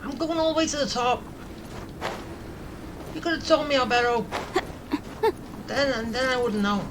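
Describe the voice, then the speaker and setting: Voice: high-pitched, moderate pace, noisy background. A gamer giving casual, off-the-cuff commentary while playing and reacting to a video game.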